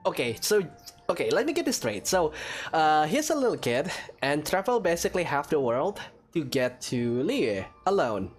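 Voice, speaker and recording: A woman doing casual gameplay commentary in a halting, dryly joking way. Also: low voice, sing-song, faint music behind. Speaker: gaming streamer.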